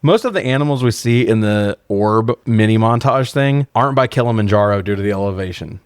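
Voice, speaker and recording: Low-voiced, sardonic man recapping a film. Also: a little fast, clean tone, dry recording, studio quality. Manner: sardonic, profane. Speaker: podcast co-host. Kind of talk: casual film recap banter.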